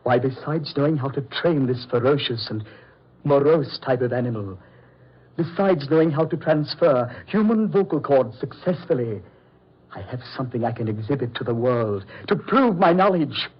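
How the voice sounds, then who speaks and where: An actor in an old-time radio horror play as a proud, coldly polite mad doctor, speaking in a deliberate, theatrical way. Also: male voice, mid-range voice, lively intonation, breathy edge. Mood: grandiose, obsessed. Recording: muffled vintage radio drama recording.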